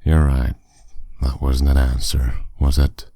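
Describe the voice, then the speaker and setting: A man playing a powerful, courteous demon captor in an ASMR roleplay, speaking with smooth, teasing, condescending authority. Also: extremely low pitch, level tone, dark tone, dry recording, clean studio sound, full character voice. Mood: stern, dominant. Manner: smooth, condescending, unhurried, with endearments.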